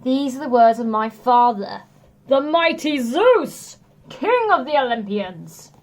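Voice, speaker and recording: Woman voicing a bombastic, high-pitched storybook hero. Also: sing-song, slow, full character voice. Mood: solemn, grand. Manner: bombastic, dramatic, prone to wailing. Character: mighty male Greek hero, boastful, dim, childishly emotional. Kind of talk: children's storybook character voice.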